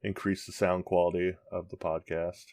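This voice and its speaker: A man's voice: deep voice, flat delivery, normal speed, a little mellow, no echo. A man co-hosting a casual podcast, rambling along with lots of ums and restarts. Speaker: podcast co-host. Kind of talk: casual chat.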